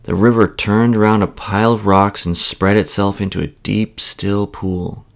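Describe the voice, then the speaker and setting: A man narrating a children's bedtime story in a measured, expressive read-aloud style. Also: lowish voice, gravelly.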